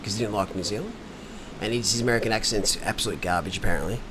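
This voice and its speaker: A man's voice: on the low side, rapid-fire. Chatty Australian man, rambling commentator. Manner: rambling, jokey storytelling. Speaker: sim racing commentator. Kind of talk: casual race commentary chat. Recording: noisy, with background hum.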